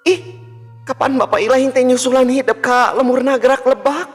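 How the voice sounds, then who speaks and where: A man's voice: very high-pitched, fairly even intonation, a little fast, voice pushed up. A man with a Sundanese accent voicing an inquisitive, concerned village mother in a folk tale, in an exclaiming, questioning manner. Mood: curious, concerned. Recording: long hall reverb with music.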